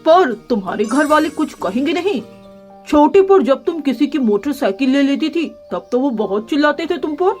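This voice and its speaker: A woman's voice: high voice, lively intonation, moderate pace, darkish tone, soft background music. A woman voicing a friendly young male cartoon pigeon, curious and supportive.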